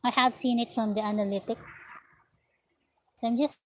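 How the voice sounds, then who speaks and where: A woman vlogging about her crochet work in an unhurried, chatty way, her voice slightly raspy. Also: on the high side, fairly even intonation, a little hiss or hum, poor audio. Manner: unhurried, chatty, talks while working. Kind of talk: slow casual vlog narration while crocheting. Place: at home, indoors.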